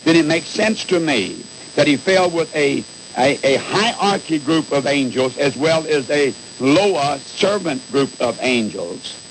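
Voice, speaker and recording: Male call-in radio preacher, earnest and emphatic, slightly high pitch, faintly noisy broadcast sound. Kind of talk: live religious call-in radio talk.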